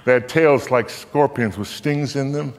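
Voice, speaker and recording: Solemn older man declaiming in a reverberant hall. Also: medium pitch. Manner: solemn, declamatory, with deliberate pauses and swells. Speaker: dramatic reader. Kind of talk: live theatrical recitation of scripture. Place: on a stage in a curtained theater. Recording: reverberant hall, long echo.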